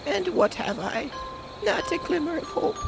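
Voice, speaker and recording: Old creaky lady, stammery and worn out, high and slow. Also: sing-song, heavily acted. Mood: despairing. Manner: halting, stammering speech. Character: weary old woman wanderer, humble and devout. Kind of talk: audio drama acting. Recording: music under the voice.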